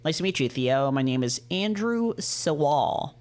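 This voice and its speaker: A man voicing a tired, older maned wolf neighbor in a visual novel, polite, informal and unhurried. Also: a little high, expressive. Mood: tired, cordial.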